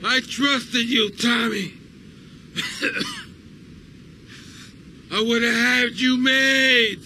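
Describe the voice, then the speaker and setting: An actor playing an enraged, bitter drug lord villain in a video game, theatrical and melodramatic, with drawn-out words. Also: male voice, very high voice, animated, bright, forceful tone, slight background noise, full character voice. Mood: bitter, dying.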